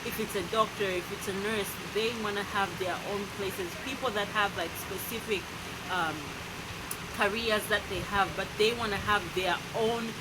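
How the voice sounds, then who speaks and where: A young woman talks in a loose, rambling monologue, packing her speech with "you know" and "like". Her voice sits in a middle range. Loud background noise runs under her voice throughout. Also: mid-range voice, fairly even intonation, moderate pace. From Zambia. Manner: rambling, many fillers such as "you know". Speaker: vlogger. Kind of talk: casual monologue.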